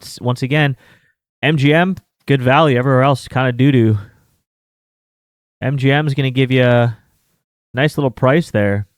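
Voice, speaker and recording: A man's voice: mid-range voice, no echo, studio quality. A man around thirty hosting a sports betting show, talking in a rambling, casual way with many fillers and some mild swearing. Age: 30s. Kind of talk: casual chat.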